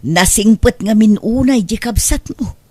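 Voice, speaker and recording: An actress playing a nosy, meddling aunt in an Ilocano radio drama. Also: female voice, a little high, measured pace, dark tone, no echo. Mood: reproachful.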